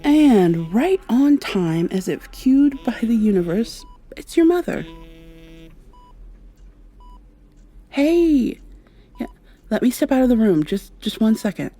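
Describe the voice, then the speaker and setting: A woman playing a devoted, worried partner of a sick loved one, speaking tenderly and haltingly to the listener in an intimate ASMR roleplay. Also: fairly high pitch, warm tone. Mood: brisk, polite. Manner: tender, soothing, halting and reassuring.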